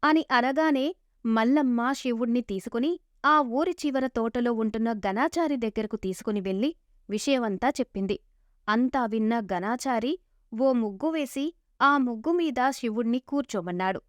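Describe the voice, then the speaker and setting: A woman's voice: a little high, normal speed, no room sound, clean studio sound. A woman reading scripted narration of a moral folk tale as a storyteller.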